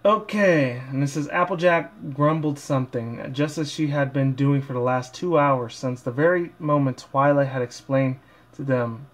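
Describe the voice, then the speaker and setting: A man's voice: medium pitch, normal speed. A man casually hosting and reading a story aloud, rambling and often stumbling and correcting himself. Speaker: amateur fan fiction reader and video host. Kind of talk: casual intro and halting story read-aloud.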